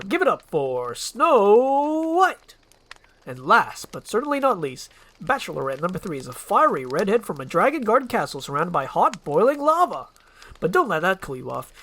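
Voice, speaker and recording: A woman's voice: medium pitch, fairly bright tone, pitched above the performer's own voice. A woman voicing a magic mirror as a showy game-show announcer, drawing out grand introductions in a storybook roleplay. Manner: showy, grandly drawn-out presenting.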